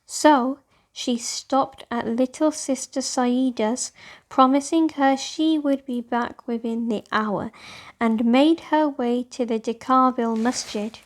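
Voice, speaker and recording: High-voiced, slow-paced woman storyteller. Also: fairly even intonation. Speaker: children's storyteller. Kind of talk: scripted storybook narration.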